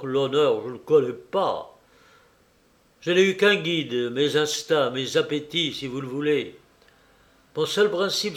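A man voicing a proud, ruthless male banker in an audiobook reading, loud, cynical and cutting. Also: a little high.

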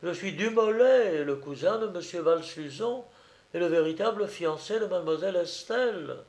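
Guy doing a flustered provincial groom, high whiny pleading, comic story character. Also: moderate pace, darkish tone. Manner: plaintive, protesting, faltering. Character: hapless flustered bridegroom, pleading indignant provincial cousin.

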